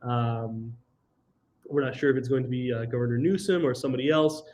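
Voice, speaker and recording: A man with a slight cold and a nasal voice, giving an online slide presentation over a video call, halting with ums, restarts and throat clearing. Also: mid-range voice, fairly even intonation, a little mellow, slight background noise. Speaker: presenter of a housing webinar.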